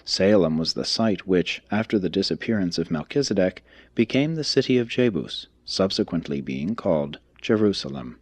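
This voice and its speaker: A man reading a religious text aloud as scripted narration, in a formal, measured, expository manner. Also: deep voice, animated, no echo. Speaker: audiobook reader.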